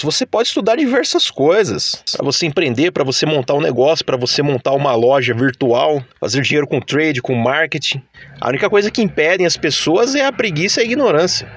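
A man with a Brazilian Portuguese accent giving opinionated, critical commentary on a video he reacts to. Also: on the high side.

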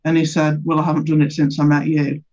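A woman giving a brisk, matter-of-fact interview testimony. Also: low-pitched, level tone, a little mellow. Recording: slightly muffled.

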